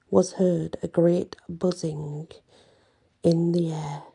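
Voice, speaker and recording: A woman reading a story aloud in an ASMR bedtime style, with halting, deliberate phrasing. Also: deep voice, creaky, mellow, breathy edge.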